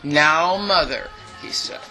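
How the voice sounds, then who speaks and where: An older woman in her eighties tells stories from her life with a loud, lively voice. She talks chattily and plays out the dialogue of the people in her stories. Music plays behind her. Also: low voice, takes it slowly. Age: 80s. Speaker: interviewee. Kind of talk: reminiscing storytelling.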